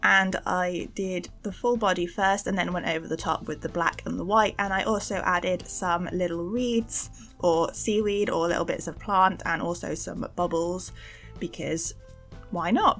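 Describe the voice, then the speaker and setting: A young woman narrates a craft video in a fairly low voice. She is chatty and informal, a little self-deprecating as she explains her steps. Soft music plays behind her. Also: moderate pace. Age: young adult. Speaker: host of an art channel. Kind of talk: casual craft voice-over narration. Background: faint background music.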